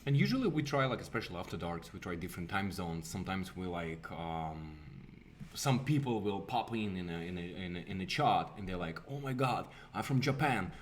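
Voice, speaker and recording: Co-host type, a thirties man, lively storytelling on a webcam stream. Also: brisk, a little hiss or hum. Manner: animated, acts out quoted voices with big pitch jumps. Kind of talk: casual storytelling chat. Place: indoor room with a virtual city backdrop.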